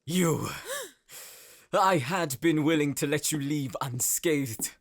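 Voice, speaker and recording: A man's voice: a little high, slow pace. A man playing an arrogant, cruel nobleman sorcerer with a pompous, sneering manner in an audio drama. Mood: vengeful fury. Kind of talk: dramatic audio roleplay acting.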